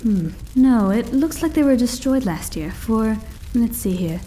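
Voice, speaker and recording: A woman voicing polite, bookish young women in a comic dub, soft-spoken and matter-of-fact, with faint background noise. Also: a little high, expressive, measured pace.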